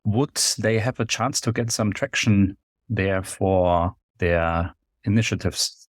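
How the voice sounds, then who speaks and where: Low-voiced male podcast host. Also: fairly even intonation, slow pace, dry recording, clean studio sound. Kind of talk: scripted podcast introduction and interview questions.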